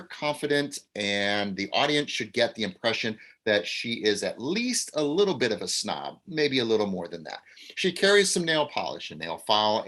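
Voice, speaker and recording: A man's voice: fairly low pitch, bright, forceful tone. A man reading stage directions aloud over a video call, with frequent uhs. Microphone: video call mic.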